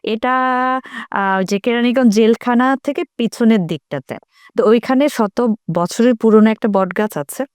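A woman's voice: a little high, normal speed, darkish tone, no echo, studio quality. A woman with a Bangladeshi accent telling casual anecdotes in a group chat, dropping in English words.

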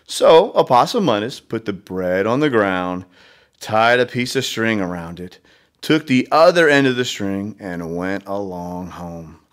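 A man's voice: fairly low pitch, lively intonation, unhurried. A man reading a children's storybook expressively, with conversational asides to young listeners. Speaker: read-aloud storyteller.

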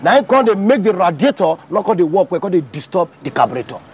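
A man with a Nigerian accent playing a smooth-talking fake mechanic, coaxing a customer outdoors on a street. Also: high voice, sing-song. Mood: persuasive. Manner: fast, persuasive, coaxing patter in Pidgin. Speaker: mechanic character. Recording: faint street noise behind.

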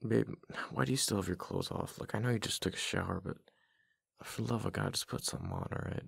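Male actor as husband, low husky voice, halting casual muttering, playfully exasperated, affectionate, ASMR roleplay, close dry sound. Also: wildly swooping pitch, no echo, full character voice. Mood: reluctant, exasperated. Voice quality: deep, gravelly, husky.

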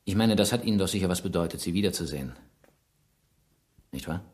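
An actor playing a shrewd, insistent police inspector who probes and presses a suspect in a radio crime drama. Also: male voice, low-pitched, animated, fast talker, darkish tone. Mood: probing, coaxing. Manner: probing, pointed, pressing questions.

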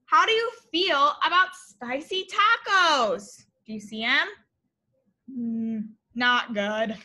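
Casting: female teacher, thirties, high bright voice, expressive picture-book read-aloud for little kids. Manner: expressive, deliberate, explaining to young children. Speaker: elementary teacher reading aloud. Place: school classroom, indoors.

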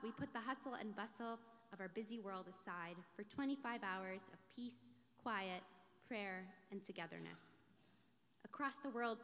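A woman rabbi delivering a scripted sermon with measured, deliberate phrasing in a large sanctuary. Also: mid-range voice, a bit bright. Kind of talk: scripted sermon, read from a lectern. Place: large synagogue sanctuary, indoors. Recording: reverberant large hall.

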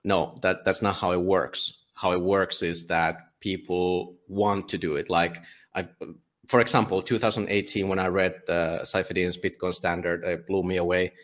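The deep-voiced Finnish man you'd hear as a guest on an independent podcast, native in Finnish, speaking his mind bluntly and casually. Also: level tone, normal speed, a bit bright. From Finland. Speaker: podcast guest, volunteer book publisher. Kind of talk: casual interview talk.

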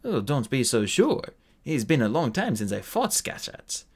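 Gentle secretive old alchemist guy, soft and easy, man reading him. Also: mid-range voice, very animated, a little fast, breathy edge. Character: ancient gentle male alchemist, calm and secretive.

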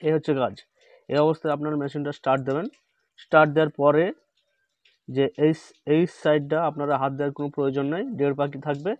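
A man talks through a repair, repeating each instruction step by step. His voice sits a little high and stays level, with little rise and fall. The sound is dry and slightly dull, like a phone recording. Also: level tone, fast talker, no echo. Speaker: repair channel host. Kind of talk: hands-on repair tutorial. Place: indoor workbench.

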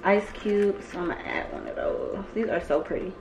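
A woman's voice: lowish voice, moderate pace, creaky, noisy background. Young woman vlogging in an echoey room. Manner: chatty and relaxed, many "um" and "like" fillers. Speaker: lifestyle vlogger. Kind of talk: casual chat to camera. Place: bedroom or dressing room at home, indoors. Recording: roomy echo of a bare room.

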